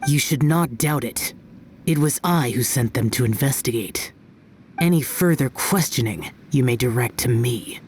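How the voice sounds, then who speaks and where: A man's voice: mid-range voice, normal speed, a little mellow, slight background noise, natural voice. A man playing a stern, aloof immortal warrior in a video game, speaking in a curt, solemn, formal manner. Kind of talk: video game character voice acting.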